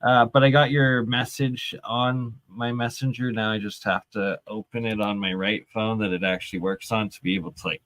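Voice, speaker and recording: A male host chatting on a video call, speaking haltingly with many fillers. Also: fairly low pitch, a bit bright, no room sound. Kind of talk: casual chat.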